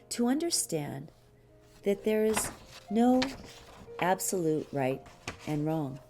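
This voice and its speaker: Mellow, lower-voiced woman reading aloud. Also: creaky. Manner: deliberate, with frequent pauses between short phrases. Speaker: audiobook reader. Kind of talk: measured reading of a spiritual teaching text. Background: music behind the voice.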